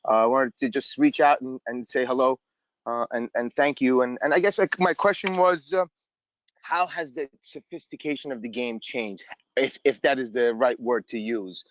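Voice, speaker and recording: A man's voice: medium pitch, normal speed, no room sound. An earnest audience member, a man, asks a question with effusive thanks and stumbles over repeated words. His voice sits mid-range, and the call audio is dull and dry. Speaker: caller asking a question. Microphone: video call.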